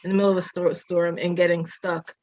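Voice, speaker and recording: A woman's voice: fairly low pitch, level tone, fairly quick, a little mellow, lo-fi sound. A woman in her thirties, born in Jamaica and raised in Massachusetts, telling her story in a casual interview with a reflective manner and frequent laughs. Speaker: architecture designer, guest.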